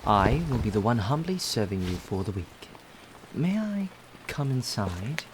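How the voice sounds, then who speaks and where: A man acting as a male butler, unhurried, formal and flowery, deferential, ASMR roleplay, rainy ambience with clear background noise. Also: fairly low pitch, sing-song. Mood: courteous. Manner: formal, flowery, softly polite phrasing. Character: courteous, well-spoken male butler, deferential and appreciative.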